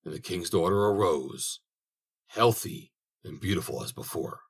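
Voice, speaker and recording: Deep husky male storyteller. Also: expressive. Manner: measured storytelling. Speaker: narrator. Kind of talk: audiobook narration.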